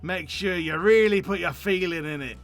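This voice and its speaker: A man's voice: sits high, normal speed, fairly bright tone, faint music behind. A man voicing a flamboyant, cheerful poetry enthusiast in theatrical, florid fashion, reading game dialogue aloud. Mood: cheerfully grandiose. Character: flamboyant, cheerful poetry lover pushing a shy friend.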